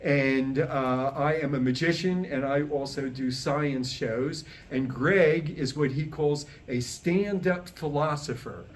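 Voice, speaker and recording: Older guy emceeing a little show, kinda high voice, folksy and jokey with the crowd. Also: slow pace, few pauses, a little hiss or hum. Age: 60s or older. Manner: folksy, joking, talks with the audience. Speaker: master of ceremonies introducing a show. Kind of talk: informal humorous stage introduction to a live audience. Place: on stage at a podium before a small live audience.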